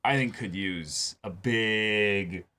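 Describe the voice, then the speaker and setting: A man's voice: fairly low pitch, drawn-out words, pushed and bright. A man in a casual discussion as co-host of a reaction show, speaking emphatically and repeating phrases as he builds his point.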